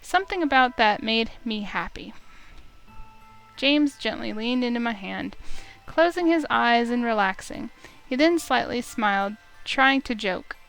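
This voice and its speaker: A woman reading a visual novel aloud as an expressive first-person story, with music underneath. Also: fairly high pitch, measured pace, creaky, smooth, low-quality recording.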